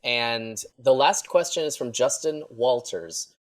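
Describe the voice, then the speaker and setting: A man's voice: mid-range voice, expressive, takes it slowly. A man chatting casually as a cast member and host in a Q&A panel.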